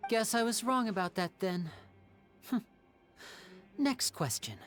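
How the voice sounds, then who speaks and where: An actress playing a cool, probing young investigator in a video game, composed and lightly taunting. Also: female voice, mid-range voice, lively intonation, slow pace, faint music behind. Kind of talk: dramatic video game voice acting.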